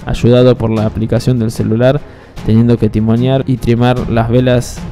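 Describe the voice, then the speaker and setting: An Argentine man reads a travel narration in an even, mid-pitched voice. His delivery stays level and factual, with music clearly running behind his words. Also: normal speed. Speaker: sailor and video narrator.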